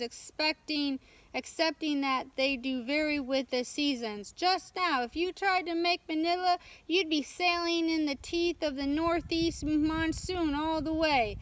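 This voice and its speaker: Woman acting smug British sea captain, storybook, high pitch, slow, condescending, mock-sympathetic. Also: fairly bright tone, dry recording, poor audio. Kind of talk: children's storybook read-aloud.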